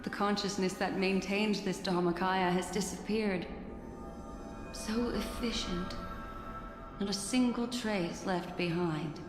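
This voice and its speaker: An actress voicing a composed, enigmatic woman in a video game, musing in a measured, cryptic way. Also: medium pitch, fairly even intonation, natural voice. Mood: pensive. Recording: echoey reverberant space, music behind.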